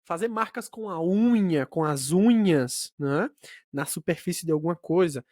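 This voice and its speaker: Higher-pitched guy cohosting a language podcast, chatty and friendly, dry mic. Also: expressive, moderate pace, dark tone, no echo. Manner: friendly, chatty, sets up examples. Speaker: podcast co-host and language teacher. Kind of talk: casual teaching chat.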